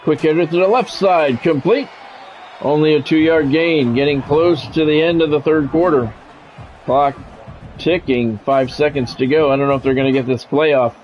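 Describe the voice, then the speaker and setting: Higher-pitched American man calling football play-by-play. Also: normal speed, a little hiss or hum. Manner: short clipped announcer phrases. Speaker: sports play-by-play commentator. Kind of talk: live play-by-play commentary of a football video game. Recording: dull lo-fi sound, music behind.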